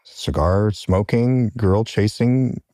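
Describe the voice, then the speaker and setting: A man playing a TV writer thinking out loud, casual and halting as he muses over a script. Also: on the low side, no room sound, studio quality. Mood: musing. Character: male TV writer musing aloud over a script.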